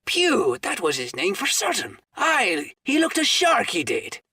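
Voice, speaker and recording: A man voicing a hearty, cunning one-legged pirate landlord, booming and theatrical. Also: fairly even intonation, normal speed, fairly bright tone, dry recording. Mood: excited.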